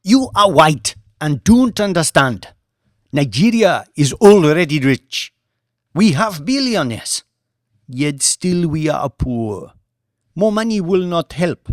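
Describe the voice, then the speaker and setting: Casting: male reader as defiant Nigerian woman activist, raised pitch, indignant and emphatic, proud audiobook heroine. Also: big pitch swings, takes it slowly, no echo, clean studio sound.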